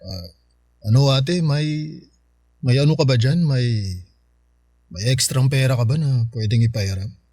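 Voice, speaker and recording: An actor playing a beleaguered, earnest husband with a Filipino accent in a radio drama. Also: male voice, mid-range voice, measured pace, long pauses, darkish tone, close to an everyday voice. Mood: sheepish, grateful. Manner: earnest, strained, hesitant when asking. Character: Marlon, beleaguered husband and uncle short of money.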